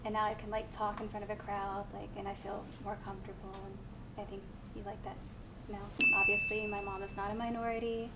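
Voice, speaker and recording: A woman's voice: medium pitch, flat delivery, brisk. A young woman talking casually in an interview, filling her sentences with "like". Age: young adult. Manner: chatty, many "like" fillers. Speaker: interviewee, daughter. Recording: noisy ambience.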